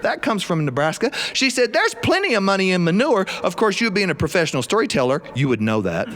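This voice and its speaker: A man from South Carolina, telling a humorous story live in an animated, folksy, comic manner. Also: high-pitched, fast.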